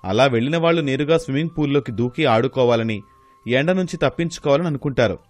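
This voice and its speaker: A man's voice: medium pitch, quick pace, faint music behind. A man reading scripted narration for a moral story.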